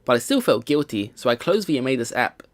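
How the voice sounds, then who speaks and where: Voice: medium pitch, sing-song, fast. A let's play host reading a visual novel's first-person narration aloud, with game music behind.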